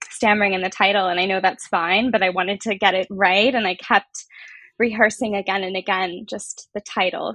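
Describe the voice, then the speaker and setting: A woman's voice: mid-range voice, a bit bright, no echo. A woman guest chatting casually with fillers and an occasional minor stammer. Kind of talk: casual conversation.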